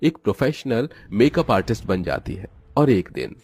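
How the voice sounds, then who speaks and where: A man's voice: fairly low pitch, expressive, normal speed, a little mellow, breathy edge. A man narrating a scripted moral story as its storyteller.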